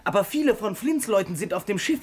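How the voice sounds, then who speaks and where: A performer playing a frightened but plucky young ship's boy in a radio play, earnest, polite and excitable. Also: mid-range voice, quick pace, slight background noise, natural voice. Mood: anxious, earnest. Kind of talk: German-language radio play acting.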